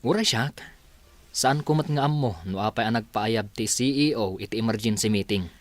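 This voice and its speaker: An actor playing a casual, teasing office supervisor in a radio drama. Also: male voice, on the low side, normal speed, no room sound, close to an everyday voice. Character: easygoing male office supervisor.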